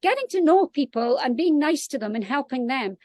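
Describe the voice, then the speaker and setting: A woman's voice: sits high, animated, a little fast, a little mellow, no room sound. A woman from Scotland with a Scottish accent, talking casually outdoors as a guest author on a panel.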